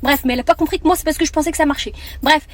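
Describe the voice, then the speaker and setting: Young french woman telling a story to her followers, talks super fast and lively. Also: high voice, a little hiss or hum. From France. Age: 20s. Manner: animated, fast, chatty, mixes Arabic phrases. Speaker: content creator.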